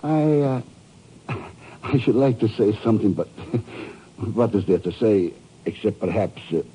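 An actor playing a polite, anxious hotel manager who helps in secret, speaking formally and hesitantly in a 1950s radio drama. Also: male voice, lowish voice, lively intonation, measured pace, warm tone. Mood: hesitant deference. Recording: muffled, noisy old radio broadcast.

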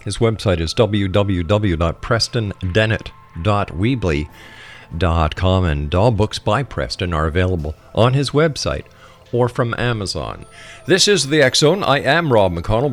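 Low-voiced, animated male radio host. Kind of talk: radio interview hosting and announcing.

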